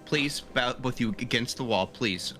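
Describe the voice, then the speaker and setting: A man's voice: medium pitch, normal speed, music in the background, lightly acted. A man playing a desperate female sergeant in a tabletop game, firm but urgent and pleading.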